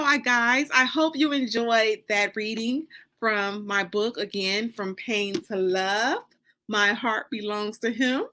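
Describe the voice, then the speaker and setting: A woman's voice: fairly high pitch, bright, forceful tone. An American woman, an author and host, casually addressing her viewers. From Detroit, Michigan, United States. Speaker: author hosting a reading.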